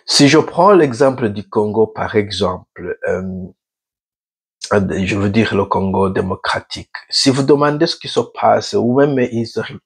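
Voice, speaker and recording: Man, Burundian accent, non-native speaker, hesitant, low gravelly voice. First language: a Burundian home language. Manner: hesitant, searching for words with many fillers; measured, deliberately slow. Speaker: video host. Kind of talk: casual talk to camera.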